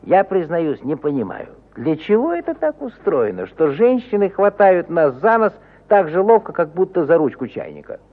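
Man, husky voice, very lively intonation, dramatic storytelling, 1950 radio style, old recording. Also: a little high, moderate pace, hardly any pauses, phone-quality sound. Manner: theatrical, swinging between loud exclamation and hushed suspense. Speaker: narrator of an audio play. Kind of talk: expressive dramatic literary narration, 1950 Soviet radio.

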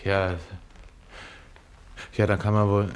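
An actor playing a hesitant male servant of old Venice in a German radio drama, speaking haltingly. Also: lowish voice, monotone.

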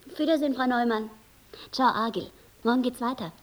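A woman's voice: on the high side, fairly quick, close to an everyday voice, rough recording. A woman playing a cool schoolboy in a radio play, with a dry, teasing manner. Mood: casual. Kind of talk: radio drama acting.

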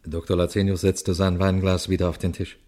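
An actor playing a sober, watchful police detective, telling his case in a measured, formal radio crime drama style. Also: low voice, flat delivery, warm tone, lightly acted. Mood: tense, observant. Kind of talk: radio crime drama, suspenseful first-person narration and dialogue.

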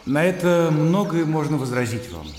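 An actor playing a polite, reasoning educated gentleman in a radio drama, courteous but ready to argue. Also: fairly high pitch, animated, moderate pace, lightly acted. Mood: polite, objecting. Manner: courteous, argumentative. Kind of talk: Russian radio drama acting. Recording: roomy reverb with birdsong and noise behind.

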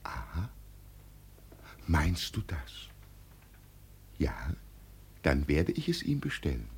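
A man's voice: rumbling low, wildly swooping pitch, takes it slowly, gravelly, husky, full character voice. An actor playing a deluded old peasant who believes he is an emperor, solemn and grandly proclaiming, in 1950s German radio drama style. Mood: solemn, insistent.

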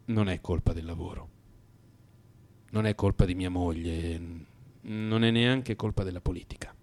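An actor playing a troubled, melancholy man in crisis in a radio drama monologue, brooding and introspective, blaming himself in halting, repeated phrases. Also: deep voice, a little mellow. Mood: despondent, self-blaming.

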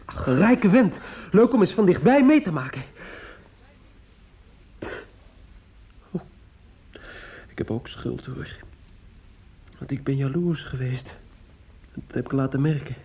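A young medical student sunk in drink and bitter grief for a radio drama. His voice sits fairly high and sounds husky. He rambles haltingly and repeats himself, on a muffled old recording. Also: lively intonation, warm tone, exaggerated voice. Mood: bitter, tipsy. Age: young adult. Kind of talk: Dutch radio play acting.

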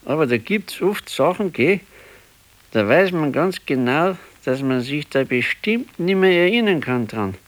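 An old Bavarian husband in a radio drama, looking back wistfully. He speaks slowly and haltingly, turning things over, with a melody that rises and falls widely. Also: a little high, animated. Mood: musing, wistful. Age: older. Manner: halting, ruminative. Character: ageing husband, musing wistfully over his past. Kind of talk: naturalistic radio drama acting.

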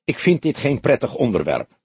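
A man's voice: mid-range voice, wildly swooping pitch, normal speed, a little mellow, slightly rough, dry recording, pitched above the performer's own voice, full character voice. A man voicing a young detective in an audiobook, a blunt, sceptical, grumpy sidekick who talks in a mocking, challenging way. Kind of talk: audiobook character dialogue.

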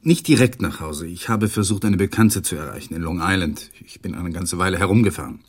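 An actor playing a tense widower who gives short, guarded answers in a 1980s radio drama. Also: male voice, sits low, a little fast, slightly rough. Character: evasive, tense widower man.

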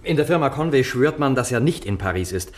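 An actor playing a businesslike, dutiful police sergeant in an early 1960s German radio crime play. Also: male voice, mid-range voice, expressive, quick pace, a little hiss or hum, natural voice. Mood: dutiful, brisk.

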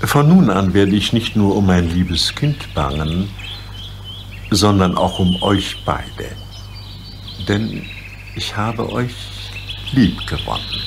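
A man's voice: low-pitched, animated, slow pace, vocal fry, long pauses, exaggerated voice. A man playing a solemn, tender-hearted emperor who speaks formally, in a children's radio play. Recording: noisy radio play with birdsong ambience.

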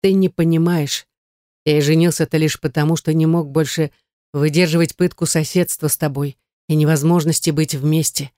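A female reader plays a stern man in love. The voice is low and muted, serious and commanding as it persuades. It is a close, dry audiobook reading. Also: fairly even intonation, moderate pace, warm tone, dry recording, natural voice. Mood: muted, anguished.

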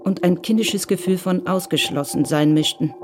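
A narrator giving calm, measured scripted narration in a radio crime drama. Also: medium pitch.

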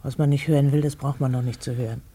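An actress playing a worried older wife in a German radio drama, pleading in an urgent, hushed manner. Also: female voice, rumbling low, steady tone, fast, husky. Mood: wary.

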